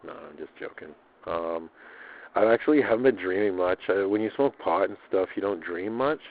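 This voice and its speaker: Deep-voiced man rambling on a lo-fi recording. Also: expressive, moderate pace. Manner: loose, slangy, swearing, repeats himself. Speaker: personal audio diarist. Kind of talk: casual rambling audio diary.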